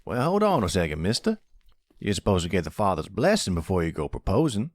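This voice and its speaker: A man's voice: deep voice, huge pitch swings, a little fast, no room sound, exaggerated voice. A man playing a domineering old grandfather who is a police officer, folksy, sardonic and scolding, in a roleplay audio drama.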